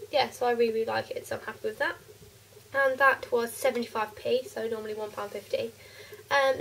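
A teenage girl with a cold and a croaky, stuffy voice, chatting casually through a makeup haul in her bedroom, with lots of ums. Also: on the high side, recorded from a distance, a little hiss or hum, rough recording. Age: teens. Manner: chatty, rambling with many ums. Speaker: beauty vlogger. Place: bedroom, indoors.

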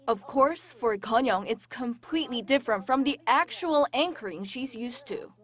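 A woman narrating a news feature in a crisp, measured broadcast read. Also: a little high, lively intonation. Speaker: reporter narrator.

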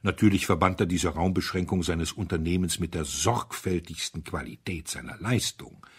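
Male audiobook narrator, low husky voice, measured and dryly ironic. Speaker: audiobook reader. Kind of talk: scripted literary narration.

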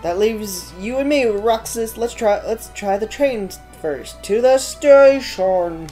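Woman gamer with medium-pitched voice. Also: animated, slow, dark tone. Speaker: gamer, let's player. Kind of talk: casual game commentary, reading dialogue aloud. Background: game music.

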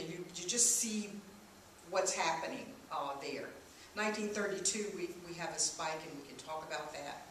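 A woman's voice: on the low side, fairly bright tone. A middle-aged woman, a history lecturer, talking informally through slides to an audience in a meeting room. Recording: very echoey room, distant, faint noise.

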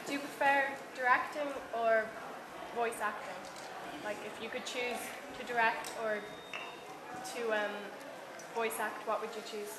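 Woman, higher voice, casual audience questioner, faraway, noisy room recording. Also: fairly even intonation, unhurried, long pauses. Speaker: audience member asking a question. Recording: distant, very echoey hall recording with noise.

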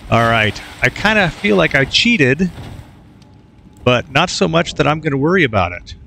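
Easygoing gravelly-voiced man playing a game. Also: a little high, very animated, moderate pace, sharp tone, slight background noise. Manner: easygoing, muttering to himself with filler sounds. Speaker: let's play gamer. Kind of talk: casual gameplay commentary.

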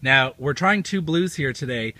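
A man in his forties hosting a casual winery interview and tasting on an outdoor patio, upbeat and quick to say fantastic. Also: mid-range voice, lively intonation, sharp tone. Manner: upbeat, says fantastic a lot. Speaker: wine blog host.

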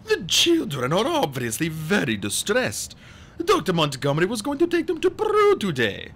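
A man's voice: wildly swooping pitch, moderate pace, a bit bright, exaggerated voice. A man voicing a scheming villain who pretends to be a distressed, fluttery assistant in an audiobook story. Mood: smooth, falsely apologetic. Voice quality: high, fluttery when pretending. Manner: theatrical, oily, falsely apologetic.

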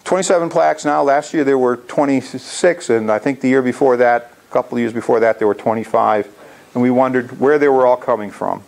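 Guy in his 60s doing a casual club slide talk, kinda gravelly and creaky. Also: medium pitch, steady tone, brisk, darkish tone. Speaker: amateur radio club presenter. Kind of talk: informal slide presentation to a club. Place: indoor lecture room.

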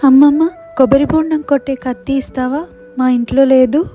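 A woman's voice: sits high, steady tone, moderate pace, warm tone, faint music behind, lightly acted. A woman voicing a polite, eager, innocent little village girl in a moral story cartoon.

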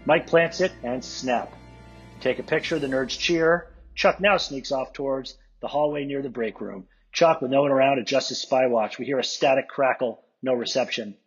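Guy reading script directions, husky mid voice, kinda dull lo-fi sound. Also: mid-range voice, normal speed, soft background music. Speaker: reader of stage directions. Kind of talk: scripted narration.

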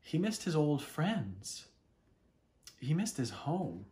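Male storyteller, 30s, expressive children's read-aloud, unhurried and very animated in intonation. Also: fairly high pitch. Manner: dramatic, deliberate pauses and interjected wonder. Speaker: storyteller reading a picture book. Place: small living room at home, indoors.

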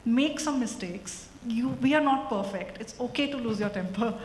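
A woman's voice: on the high side, moderate pace. Reassuring woman panelist in an echoey hall. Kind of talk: panel discussion. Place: conference stage before an audience. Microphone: handheld mic. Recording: echoey hall with faint noise.

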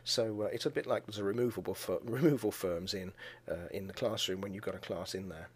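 A man, a school educator, giving a measured conference talk over slides and video, often pausing with "uh". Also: fairly low pitch, breathy edge. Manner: measured and explanatory, with frequent "uh" pauses.

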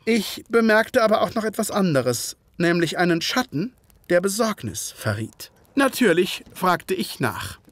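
An actor playing a loyal, puzzled gentleman narrator in a detective audio drama, with measured, formal, literary phrasing. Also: high voice, very animated, heavily acted. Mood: reflective.

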